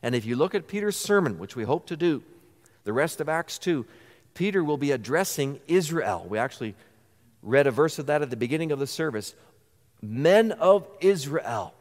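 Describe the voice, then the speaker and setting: A man's voice: fairly high pitch, big pitch swings, moderate pace, a little mellow. A man preaching a sermon as pastor, earnest, posing rhetorical questions to the congregation. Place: church sanctuary. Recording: church hall reverb.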